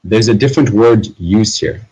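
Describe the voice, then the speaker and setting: A man's voice: fairly low pitch, normal speed, mellow, slightly rough, poor audio. A young man in his twenties, a guest in a heated online debate, answering carefully and defensively while being interrupted. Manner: defensive, careful, asks to be let speak.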